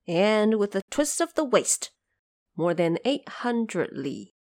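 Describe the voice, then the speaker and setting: Woman storyteller with lively, unhurried narration. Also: medium pitch, no echo. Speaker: narrator of an audio drama series. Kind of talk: scripted storytelling narration with explanatory asides.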